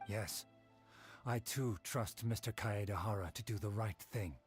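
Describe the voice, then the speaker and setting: A man's voice: lowish voice, unhurried, soft background music, lightly acted. A man playing a polite, earnest young apprentice in a video game. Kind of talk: video game character acting.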